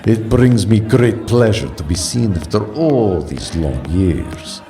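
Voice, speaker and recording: An ancient vampire lord of courtly, grandiose manner for an audio drama. His low, gravelly voice swoops theatrically through each slow phrase. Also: big pitch swings, over music, exaggerated voice. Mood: grandly affectionate.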